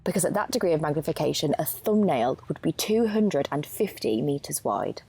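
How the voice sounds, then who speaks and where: A woman's voice: deep voice, lively intonation, a little fast, dark tone, breathy edge, exaggerated voice. A young woman, a student actor, playing an earnest, matter-of-fact lab scientist explaining research in a naturalistic audio drama. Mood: precise, explanatory. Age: young adult.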